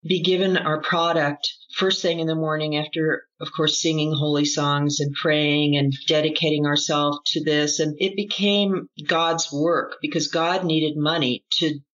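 A woman interview guest giving reflective testimony in an earnest, deliberate way, building her points through repetition. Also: low-pitched, little pitch movement, fairly bright tone. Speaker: interview guest, former group member recounting experience. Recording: dull lo-fi audio.

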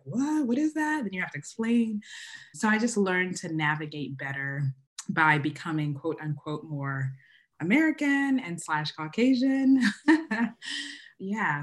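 A woman from Uganda talks as a podcast guest, telling her story in an animated, conversational way. Her voice sits low for a woman, and she laughs often. She is calling in remotely. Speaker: guest, wedding photographer. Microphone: remote call from home.

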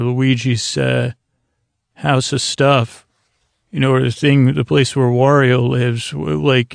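A man hosting a bedtime podcast in a rambling, halting monologue that trails off and circles back. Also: medium pitch, creaky, no echo, clean studio sound. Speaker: podcast host.

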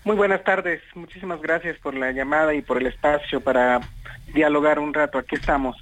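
A man from Chiapas whose first language is Tzotzil, speaking by telephone as a novelist guest, measured with frequent fillers. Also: fairly high pitch, fairly even intonation, a bit bright, no echo, rough recording. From Chiapas, Mexico. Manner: measured, uses many fillers.

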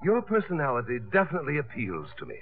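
An actor playing a smooth, flirtatious sculptor with a playful, hesitating manner, in 1950s American radio drama style. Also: male voice, fairly high pitch, huge pitch swings, fast, full character voice. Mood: playful, suave. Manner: suave, playful, hesitating with uhs. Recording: tinny old radio broadcast, music behind.

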